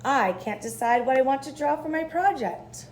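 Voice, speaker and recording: A woman's voice: sits high, lively intonation, normal speed, a little mellow, a little hiss or hum, voice pushed up. A woman voicing an earnest, indecisive young boy in a children's storybook. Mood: torn, uncertain.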